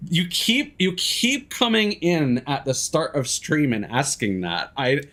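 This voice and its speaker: A man's voice: a little high, wildly swooping pitch, measured pace, fairly bright tone. A man chatting casually as a game streamer, candid and sweary, thinking aloud as he goes. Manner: sweary, candid, self-deprecating. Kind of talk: casual live-stream chat and gameplay commentary.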